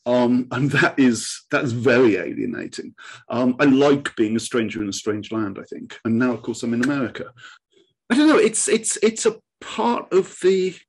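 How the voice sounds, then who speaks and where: A man with an English accent speaks as a writer in casual conversation. His voice is medium in pitch and a little rough. He muses aloud, qualifying himself as he goes. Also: big pitch swings, normal speed. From England, born in Oxford, adult life in Newcastle. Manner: musing, hesitant, self-qualifying. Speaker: writer, interview participant.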